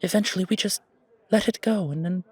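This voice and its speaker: A man playing an elegant, wry fantasy coffee shop owner in an audio roleplay, with deliberate, formal, theatrical phrasing. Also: high voice, husky, no room sound, pitched above the performer's own voice. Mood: earnest.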